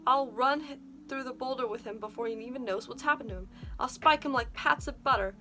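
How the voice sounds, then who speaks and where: A pompous old duke roars his threats and orders as a woman reads him aloud, his voice raised and booming with bluster. The tone sits a touch high. Music plays behind. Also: expressive, normal speed, pushed and bright. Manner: bellowing, blustery.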